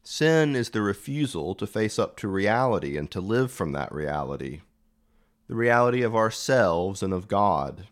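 A man preaching a reflective homily in measured, deliberate phrases. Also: fairly low pitch, very animated.

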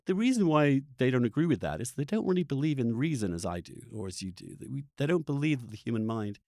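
Male podcast host, animated intonation, earnest, emphatic, halting restarts, dry close sound. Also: mid-range voice, no room sound. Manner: halting, with repeated words and restarts when worked up. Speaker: podcast host interviewing a guest. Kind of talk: impassioned conversational interview.

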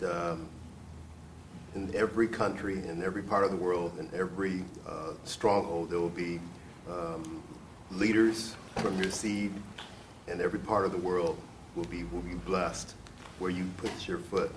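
Very deep-voiced, halting man. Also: steady tone. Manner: halting, searching for words. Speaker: discussion participant. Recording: distant mic, clear noise.

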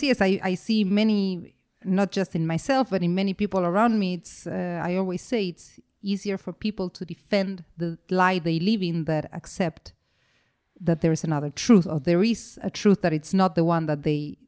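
Earnest woman guest with a lowish voice. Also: normal speed, darkish tone. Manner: earnest, repeats "to me", restarts often. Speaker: interview guest. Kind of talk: earnest conversational interview.

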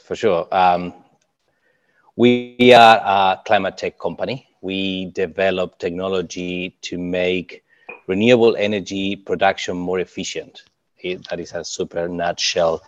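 Uruguayan man, low voice, dull dry audio. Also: no room sound. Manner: hesitant, many fillers and restarts. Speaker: climate tech startup co-founder. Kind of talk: interview answers.

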